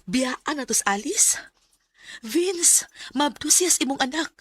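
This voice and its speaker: Anguished young Cebuano woman, highish voice. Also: animated, slow, no room sound. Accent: Cebuano Filipino. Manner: emotional, sighing delivery. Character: Mina, anguished young woman secretly loving best friend's boyfriend. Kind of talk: Bisaya radio drama acting.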